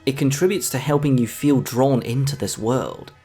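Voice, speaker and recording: A man's voice: medium pitch, normal speed, dark tone, soft background music. A man narrating a scripted film review as the host of a video essay.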